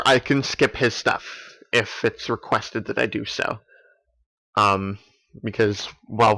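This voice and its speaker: A man's voice: lowish voice, sharp tone, rough recording. A man hosting a let's play, explaining things in casual, informal commentary. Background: faint game music.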